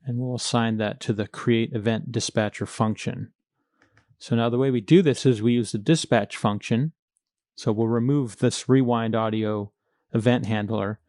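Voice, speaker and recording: A man narrates a programming tutorial step by step in a methodical, plain-spoken way. His voice sits at an average pitch, and the recording is dry with very little room sound. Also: steady tone, normal speed, darkish tone, no echo. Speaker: coding tutorial instructor. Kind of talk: screencast coding walkthrough, explaining step by step.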